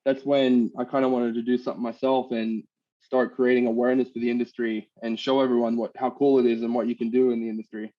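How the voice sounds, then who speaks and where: A man born in Australia who picked up an American accent, talking easily on a call and often pausing with ums while he describes his trade work. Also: medium pitch, dull audio. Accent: American-tinged Australian. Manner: relaxed, hesitant with many ums and uhs. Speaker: guest, machine demonstrator and trainer. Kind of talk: casual interview over a call.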